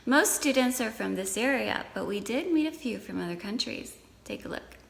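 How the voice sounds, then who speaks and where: A woman's voice: a little high, expressive, moderate pace, creaky, a bit bright, reverberant, in a large hall. A woman anchoring a student news program, reading scripted segments.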